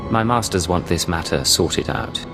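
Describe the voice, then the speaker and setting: Low and animated, this is a suave imperial spy from a video game. He is confident and smooth, with a cool menace, over clearly audible music. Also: moderate pace. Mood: cool, threatening. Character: suave, confident male imperial secret agent.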